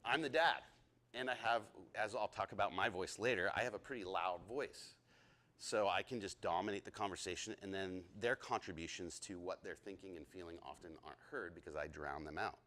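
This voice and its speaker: A man in his thirties teaching a leadership lesson to a group, excitable and chatty, often asking the group questions. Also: low voice, a little fast. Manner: excitable, talks a lot, asks the group questions.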